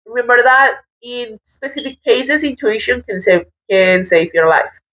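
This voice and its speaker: A woman from Colombia with a Colombian accent, giving a casual tarot reading in a halting, thoughtful way, often restarting her words. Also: fairly high pitch, a bit bright, rough recording. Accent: Colombian Spanish. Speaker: tarot reader.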